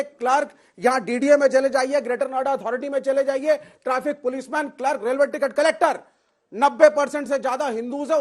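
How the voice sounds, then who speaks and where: A man arguing insistently as a panelist in a political television debate. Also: very high-pitched. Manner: insistent, argumentative.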